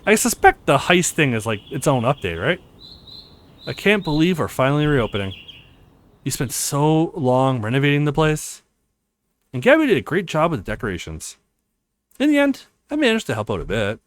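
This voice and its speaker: A man's voice: on the high side, very animated, moderate pace. A man hosting a let's play, reading a visual novel aloud with casual commentary.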